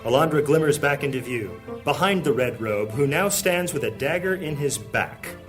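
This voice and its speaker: A man narrating a high fantasy audio drama as a solemn, scripted storyteller. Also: lively intonation, moderate pace, music in the background, close to an everyday voice.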